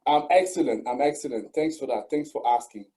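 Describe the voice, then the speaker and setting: Dark-voiced male interview host. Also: medium pitch, fairly quick. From Cameroon. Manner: formal, repeats phrases, emphatic greetings. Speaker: host and channel founder. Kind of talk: online interview.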